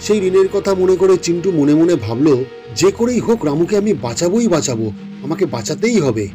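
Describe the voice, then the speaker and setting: A man's voice: high voice, expressive, fast talker, over music. A man narrating a scripted children's folk tale.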